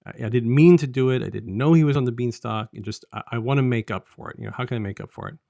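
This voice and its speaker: A man's voice: medium pitch, quick pace, vocal fry, warm tone, dry recording, clean studio sound. A man co-hosting a review podcast, casually retelling a story in a rambling way and slipping into paraphrased dialogue. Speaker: podcast co-host reviewing an audio drama. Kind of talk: casual conversational story recap.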